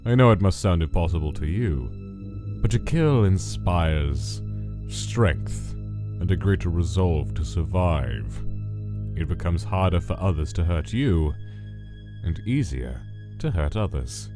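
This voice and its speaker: A man voicing a cold, menacing skeleton scientist in a comic dub, speaking in measured, formal, clinical phrases. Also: sits low, lively intonation, over music. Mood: grave, ominous. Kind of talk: dramatic comic dub acting.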